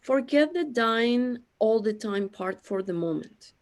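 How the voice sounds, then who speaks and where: A woman playing a lonely, self-pitying immortal creator god in a scripted audio drama, speaking in a plaintive, deliberate way. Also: on the high side, animated. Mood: complaining.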